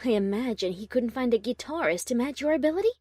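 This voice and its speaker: A woman voicing a refined, haughty high-class pony musician with formal, cultured phrasing in a story reading. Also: lively intonation, quick pace, warm tone, dry recording, studio quality. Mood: poised, curious. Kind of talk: audiobook character acting.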